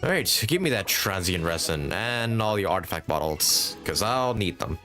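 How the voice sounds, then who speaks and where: A man's voice: low voice, big pitch swings, normal speed, sharp tone, soft background music. A man giving casual, rambling live commentary as he streams a game, thinking aloud and chatting to viewers. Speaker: game livestreamer.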